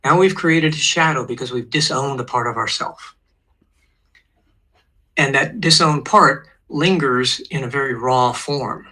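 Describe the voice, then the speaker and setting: A man in his sixties, a therapist, calmly explaining psychological ideas as a guest on an online show. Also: medium pitch, unhurried, low-quality recording.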